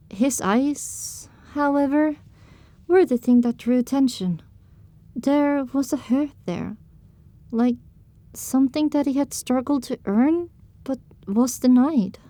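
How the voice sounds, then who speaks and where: A woman with a somewhat high voice delivers scripted first-person narration for an audio drama. The sound is dry and close, with no room around it. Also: warm tone, no room sound.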